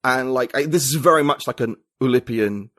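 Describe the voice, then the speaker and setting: A British man, a writer and podcast guest, talking in a reflective, halting way with many restarts. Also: medium pitch, sharp tone, dry recording, studio quality. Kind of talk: casual conversation.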